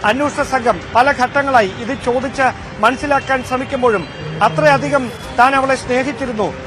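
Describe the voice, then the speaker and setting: Male TV field reporter, extremely high pitch for a man, halting unscripted delivery over busy crowd noise. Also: poor audio. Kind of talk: live news report, unscripted commentary.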